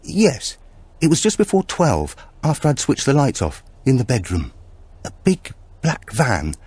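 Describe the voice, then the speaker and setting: Male reader as elderly village woman, raised pitch, cheerful, matter-of-fact, lively swoops, audiobook character. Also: unhurried, husky, no echo, exaggerated voice. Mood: chatty, eager.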